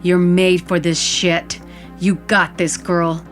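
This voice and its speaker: A woman's voice: fairly low pitch, little pitch movement, takes it slowly, soft background music. A woman narrating audio fiction in the first person, with a wistful, vivid storytelling manner.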